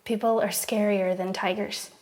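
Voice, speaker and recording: A woman playing a hurt but resolute young woman in an audio drama, at an ordinary pitch. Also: level tone, normal speed, darkish tone, close to an everyday voice. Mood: bitter, reflective. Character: wounded but resolute young woman confronting her abuser.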